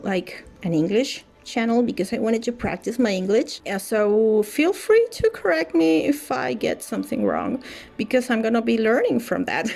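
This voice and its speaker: A woman's voice: mid-range voice, a little mellow. Chatty woman, Spanish native, over music. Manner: chatty, frequent restarts and "like" fillers. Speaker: gaming YouTuber. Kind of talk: casual voice-over chat. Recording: faint music underneath.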